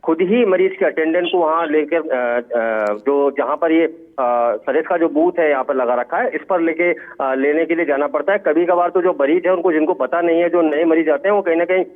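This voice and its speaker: A man giving an unscripted live field report in a halting, repetitive way. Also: a little high. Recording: tinny phone-like radio-quality line.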